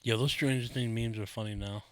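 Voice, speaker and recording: A man's voice: mid-range voice, fairly even intonation, fairly quick. A young man chats easily on a casual podcast. He is laid-back and teasing. He often starts his lines with "yo.". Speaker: podcast co-host. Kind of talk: casual banter.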